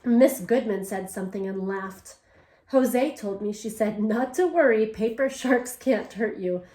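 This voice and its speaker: A woman's voice: medium pitch, a little slow, mellow. A woman teacher reading a picture book aloud to young children, first in English and then in Spanish, stopping to ask the class questions. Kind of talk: bilingual read-aloud for children. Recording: echoey room.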